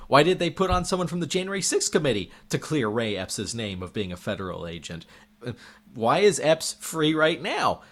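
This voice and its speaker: Man, political commentator, indignant, rhetorical questions, voice going hoarse, animated swooping pitch. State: losing his voice. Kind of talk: opinion monologue.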